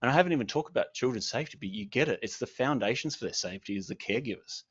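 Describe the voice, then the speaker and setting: A man's voice: mid-range voice, expressive, very fast, a bit bright, husky, highs cut off. A man giving a passionate, emphatic speech at a launch event, often repeating words. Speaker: advocate speaker.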